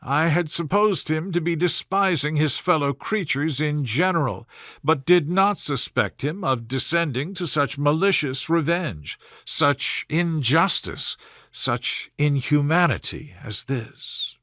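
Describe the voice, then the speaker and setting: A man's voice: on the high side, lively intonation, slow pace, fairly bright tone, no echo, clean studio sound. A man voicing a spirited, indignant young genteel woman from a Regency storybook, exclaiming earnestly in formal phrases. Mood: troubled disbelief. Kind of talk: storybook character voice in audiobook reading.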